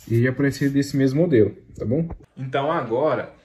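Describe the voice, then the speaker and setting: A man's voice: mid-range voice, brisk, husky. A young man with a Brazilian accent explaining beginner coding step by step, casual and chatty, often tagging lines with "tá?" and "né?". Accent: Brazilian Portuguese. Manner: repeats filler tags like "tá?" and "né?"; slangy, excitable outro.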